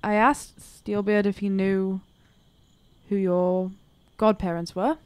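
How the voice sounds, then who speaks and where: A woman's voice: mid-range voice, flat delivery, slow, a little mellow, smooth, no echo. A young woman chatting casually as a player in a tabletop game. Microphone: individual table microphone, close.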